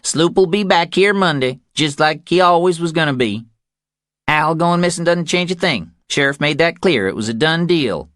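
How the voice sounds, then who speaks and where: A male narrator puts on a Texan ranch matriarch in her fifties for an audiobook thriller. She is bossy and curt, pitched far above a man's natural register. The sound is close and dry. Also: expressive, normal speed, a bit bright, dry recording. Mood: firm, dismissive. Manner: brusque, bossy.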